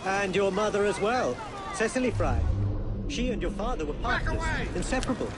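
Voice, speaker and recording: An actor playing a dignified, shrewd exiled Indian king in a video game, speaking in a formal, measured, courtly way. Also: high voice, expressive, heavy background noise. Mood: gentle, curious. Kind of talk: video game cutscene acting. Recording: loud music behind the voice.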